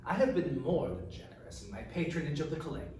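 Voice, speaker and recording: A man's voice: a little high, animated, fast talker, lightly acted. A man voicing a stern, haughty noblewoman and demanding mother in a storybook reading, cool and insistent. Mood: cool, entitled. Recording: echoey room, distant mic, faint noise.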